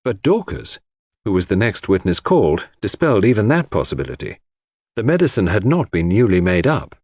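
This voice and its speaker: Expressive male audiobook narrator. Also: fairly low pitch, breathy edge, dry recording, clean studio sound. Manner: measured storytelling with acted dialogue. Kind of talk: scripted narration of a classic detective novel.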